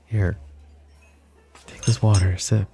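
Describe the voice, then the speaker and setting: Guy doing a mafia boss for asmr roleplay, gravelly and kinda dark mellow voice, possessive, teasing, dangerous. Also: on the low side, flat delivery, slow, faint music behind. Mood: protective, teasing. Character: flirty, possessive male mafia boss, protective and menacing. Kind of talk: intimate roleplay ASMR acting.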